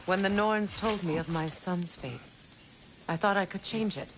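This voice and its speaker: A woman's voice: mid-range voice, moderate pace, noisy background, close to an everyday voice. An actress playing a worldly-wise, grieving goddess mother in a video game, bitter and wry, with eloquent, pointed delivery. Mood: knowing, resigned. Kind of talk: dramatic video game acting.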